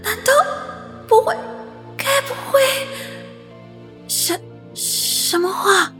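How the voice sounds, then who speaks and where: A woman's voice: extremely high pitch, lively intonation, bright, forceful tone, faint music behind, heavily acted. An actress playing a flustered, sweet young woman with a Taiwanese accent in a romantic radio drama, hesitant and stammering. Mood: flustered, shy. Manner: hesitant, stammering, breathy pauses.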